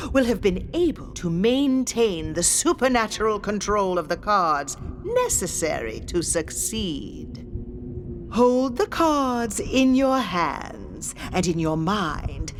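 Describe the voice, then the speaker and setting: Slow, swooping, theatrical ghostly séance medium. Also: female voice, mid-range voice, a little hiss or hum. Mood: ominous, challenging. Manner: slow, dramatic, mysterious, commanding delivery with formal old-fashioned wording. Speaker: séance guide leading a card ritual. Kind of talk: scripted theatrical audio drama narration.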